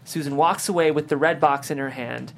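A man's voice: a little high, fairly quick, vocal fry, fairly bright tone, slight background noise. A man hosting a script read and narrating stage directions.

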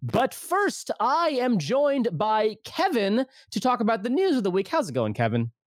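A man's voice: very high voice, wildly swooping pitch, normal speed, no echo, clean studio sound. A man hosting a casual podcast, chatting loosely with plenty of fillers and restarts. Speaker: podcast host.

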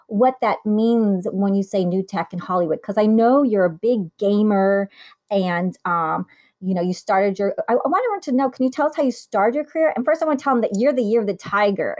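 A woman's voice: medium pitch, darkish tone. A woman interviewing a guest, enthusiastic and sometimes fumbling her phrasing. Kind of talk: casual interview.

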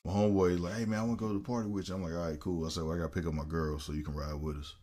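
Deep-voiced man telling a lively story. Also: rapid-fire, heavy background noise. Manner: animated storyteller acting out quoted voices. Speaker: podcast co-host. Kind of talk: casual storytelling chat.

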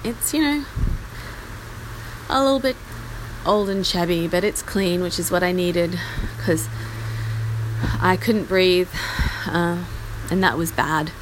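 A husky-voiced Australian woman in her forties, worn down by a chest infection, talks in a slow, unhurried drawl. Her voice sits a little low as she rambles, trails off and laughs at herself. Faint rain patters in the background. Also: noisy background. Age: 40s. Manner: rambling, self-deprecating, trailing off. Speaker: podcast host. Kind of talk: casual personal update. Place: small outdoor patio in the rain.

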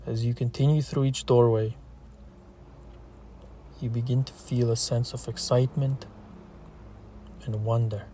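A man guides a meditation in a relaxed, mellow voice at a middle pitch. His delivery is soothing and steady, with barely any rise or fall. Short phrases are set apart by long, unhurried pauses. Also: slight background noise. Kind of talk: slow guided meditation, scripted narration.

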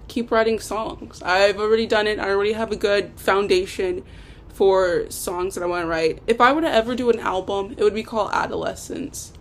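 A young woman hosting an unscripted solo podcast, talking in a rambling, hesitant, self-deprecating way. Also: mid-range voice. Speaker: podcast host.

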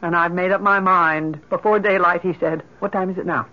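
A woman's voice: fairly low pitch, fairly even intonation, fairly quick, close to an everyday voice. A woman playing a desperate, grief-obsessed mother in an old-time radio drama, with a theatrical, imploring delivery. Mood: fixed, determined. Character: desperate, grief-obsessed mother pleading for her dead child. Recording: noisy, dull old radio broadcast recording.

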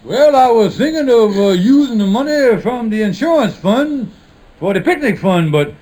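An actor playing a sheepish, scheming married lodge leader in a 1950s radio sitcom, hesitant and evasive with folksy, ungrammatical speech. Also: male voice, very high-pitched, expressive, moderate pace, darkish tone. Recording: noisy 1950s radio broadcast recording.

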